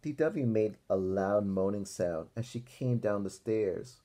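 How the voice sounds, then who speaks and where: A young man reading a picture book aloud to children like a teacher, pausing to ask questions. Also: fairly low pitch, dark tone. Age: young adult. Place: small room indoors.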